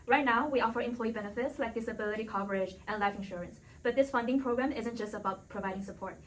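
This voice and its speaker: A woman's voice: a little high, quick pace, a little hiss or hum, poor audio. A woman presenting a scripted argument as a panelist in a business role play.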